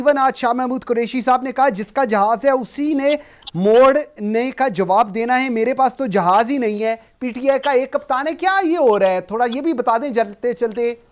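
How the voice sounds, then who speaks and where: A man with a Pakistani accent hosting a political talk show, mixing in English phrases as he goes. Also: very high-pitched. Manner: switches between Urdu and English phrases.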